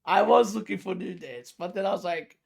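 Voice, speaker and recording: Creaky-voiced, excitable music reaction host. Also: mid-range voice, brisk. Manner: excitable, exclamatory, swears. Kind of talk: casual music reaction commentary. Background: pop music playing.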